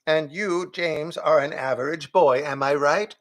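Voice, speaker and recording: A man's voice: a little high, unhurried, fairly bright tone. A man voicing a fussy, fretful male goat who mutters and apologizes in a prim way.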